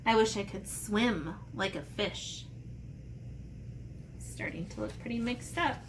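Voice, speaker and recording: A woman's voice: mid-range voice, takes it slowly, a little hiss or hum, low-quality recording. A woman teacher talking to children in simple, playful, step-by-step language, as in a read-aloud and drawing lesson. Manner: simple, child-directed explanations with playful asides. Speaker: elementary art teacher.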